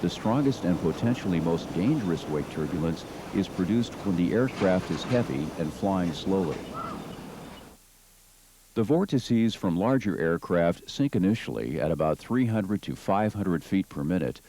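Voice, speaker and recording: A middle-aged American man narrating a training film in a measured, formal, instructional manner. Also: deep voice, mellow. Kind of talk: scripted documentary narration. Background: music under the narration.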